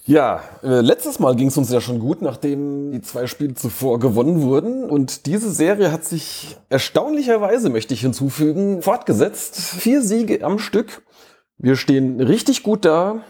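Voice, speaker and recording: Chatty man with a dark, husky voice. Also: on the high side, sing-song, measured pace. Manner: chatty, self-interrupting, joking. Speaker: podcast host. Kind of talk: casual fan podcast chat. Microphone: remote call.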